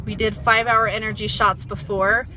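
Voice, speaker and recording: A woman's voice: mid-range voice, flat delivery, moderate pace, bright, forceful tone. A woman vlogging in a casual, chatty way, rambling with lots of fillers. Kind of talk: casual chat to camera. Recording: noisy background hum.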